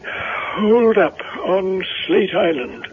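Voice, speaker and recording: Hesitant reverend in vintage radio drama: high voice, fussily precise, halting; tinny recording. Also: male voice, expressive, slight background noise. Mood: evasive, flustered. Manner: stammering, hedging, fussily precise. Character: fussy, hesitant, kindly male reverend. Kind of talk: 1970s radio drama acting.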